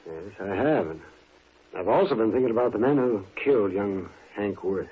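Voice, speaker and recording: Lo-fi earnest frontier doctor. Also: male voice, on the low side, lively intonation, moderate pace, slightly rough. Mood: earnest, determined. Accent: American. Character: loyal, stubborn frontier town doctor, middle-aged man. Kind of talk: 1950s radio western acting. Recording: narrow, tinny, noisy old radio recording.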